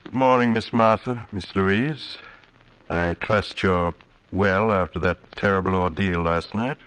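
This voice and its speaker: An actor playing a grave, courtly small-town judge, formal and solicitous, in a 1940s radio drama on a noisy old recording. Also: male voice, sits low, a little slow, a bit bright. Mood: courteous.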